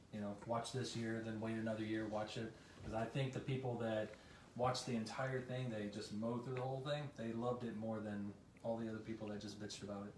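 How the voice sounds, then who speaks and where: A man's voice: mid-range voice, a little mellow. A man chatting casually as a panel guest in a blunt, wry way. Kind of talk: casual chat. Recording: echoey room, distant mic, faint noise.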